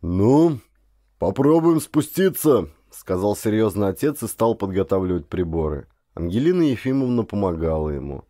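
A man's voice: deep voice, animated, fairly quick, few pauses, dark tone, dry recording, studio quality. A man, a professional actor, speaking as an audiobook narrator with a dramatic storyteller's delivery. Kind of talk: dramatic scripted audiobook narration, then direct address to listeners.

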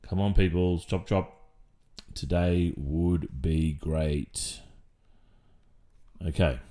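A dominant, exasperated Australian male teacher, played by a man in an ASMR roleplay. His voice is low and very mellow, with a dark tone. He takes his time over each phrase, dry and sarcastic, with pointed pauses. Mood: brisk, impatient.

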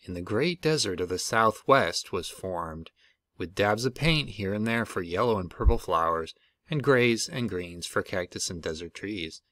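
A man reading a children's picture book aloud as an expressive storyteller. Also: on the low side, unhurried, no echo, studio quality. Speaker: storybook reader.

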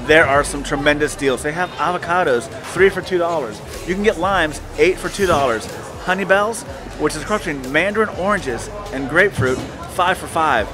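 A man's voice: a little high, expressive, moderate pace. A man in his forties hosting a casual travel vlog, talking upbeat and enthusiastic into a handheld camera at arm's length, with music behind. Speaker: vlog host. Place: outdoors at a market and indoors in shops.

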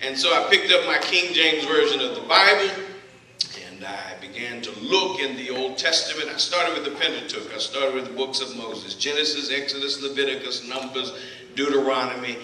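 A man's voice: normal speed, far from the mic. A middle-aged man from Texas with a Southern accent, preaching as a guest speaker with folksy, humorous storytelling. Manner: folksy, builds from low asides to loud emphatic listing. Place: church sanctuary, walking in front of the pulpit. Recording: echoey church, voice from afar. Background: congregation laughing and responding.

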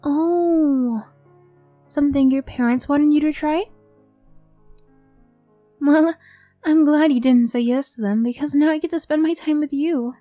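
A woman acts out a young girlfriend in an ASMR roleplay, high and soft-toned. She is affectionate and chatty, with a tough streak under the sweetness. The sound is close and dry over background music. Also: a little slow, pauses often, no room sound. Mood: affectionate, bubbly.

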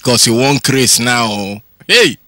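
A man's voice: medium pitch, expressive, takes it slowly, very noisy. A Nigerian man narrating a hidden-camera show, teasing the viewers with chuckling comic asides. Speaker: show host, voice-over narrator. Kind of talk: comic voice-over commentary.

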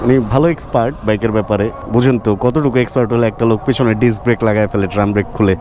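A man's voice: fairly low pitch, fairly even intonation, racing. A Bangladeshi man chatting casually to viewers as he rides a motorbike, mixing English words into his speech. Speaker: motovlogger. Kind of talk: casual vlog chat while riding. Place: outdoors on a motorbike in city traffic. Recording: loud road and traffic noise.

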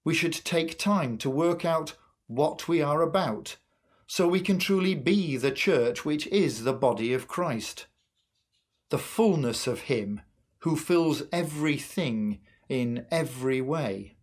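A man in his sixties leading worship as a minister, reading a sermon and prayers in an earnest, measured way with deliberate pauses. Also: fairly high pitch, expressive. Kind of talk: sermon and prayer, read from a script. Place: small curtained room at home, indoors.

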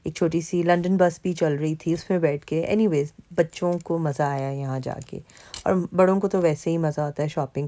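Woman from Pakistan, vlogger, chatty voice-over, low mellow voice. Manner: chatty, conversational, frequent fillers. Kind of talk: casual narration over mall footage.

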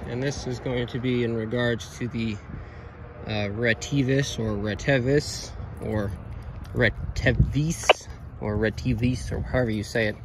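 An American man gives a casual, rambling product demonstration at an ordinary middle pitch. He hesitates and corrects himself as he goes. The recording is clearly noisy, made outdoors beside a road. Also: fairly even intonation, unhurried. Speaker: radio hobbyist presenter. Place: outdoors on a roadside sidewalk.

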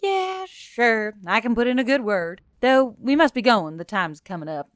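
A woman voicing a wry, sarcastic young adventurer woman with a raised, lively delivery. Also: on the high side, normal speed, dry recording, studio quality, lightly acted. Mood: sardonic. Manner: sour, sarcastic, bright and raised.

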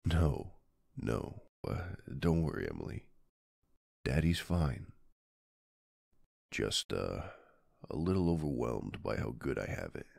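A man in an ASMR roleplay playing a doting mafia boss husband and gentle father, speaking tenderly and soothingly with soft pauses. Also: very deep voice, sing-song, creaky, no room sound, clean studio sound, full character voice. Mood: touched, loving. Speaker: roleplay audio performer.

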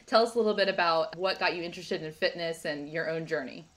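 A woman's voice: fairly low pitch, fast, fairly bright tone. A woman in her thirties hosting a podcast, enthusiastic and upbeat as she introduces her guest and asks questions. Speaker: podcast host. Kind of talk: casual interview, scripted intro. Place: living room at home. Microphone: headset.

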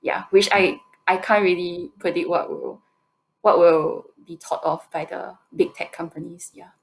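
Young woman, Singaporean accent, lowish voice, halting answers, video call. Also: steady tone, creaky, low-quality recording. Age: 20s. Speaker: competition presenter answering judges' questions. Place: indoor room, on a video call.

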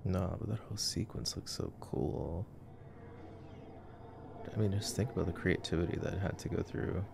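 A man's voice: low voice, moderate pace, gravelly, background noise. A man playing an easygoing, nerdy boyfriend in an ASMR sleep-aid roleplay, chatting casually and teasingly through a movie. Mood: impressed. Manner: casual, teasing, playfully cocky.

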